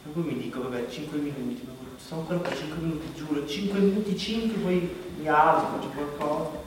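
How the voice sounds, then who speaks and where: Man played by an actor, fairly high voice, desperate, hesitant, repetitive, confessional theatre monologue, faint background noise. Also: a little fast, close to an everyday voice. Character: desperate, self-conscious man confessing helplessness to an audience. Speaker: stage actor. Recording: distant echoey hall, faint noise.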